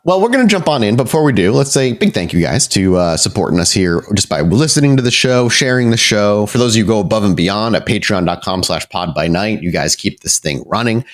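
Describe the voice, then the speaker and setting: A man's voice: fairly low pitch, animated, a little fast, few pauses. A man hosting and narrating a tabletop role-playing podcast as storyteller, moving between casual chat and cinematic scene-setting. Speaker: storyteller and host.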